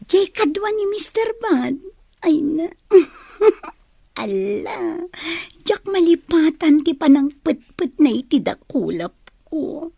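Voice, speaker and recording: Nangato timekna a napaayat a balasang. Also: female voice, high-pitched, very animated, slow, vocal fry, mellow, dry recording, studio quality, exaggerated voice. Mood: giggly, teasing. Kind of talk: Ilocano radio drama acting.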